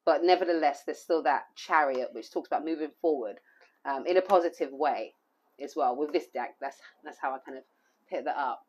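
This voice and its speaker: A hoarse woman giving an intuitive tarot reading, rambling and repeating phrases with plenty of fillers. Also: low-pitched, fairly quick. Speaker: tarot reader.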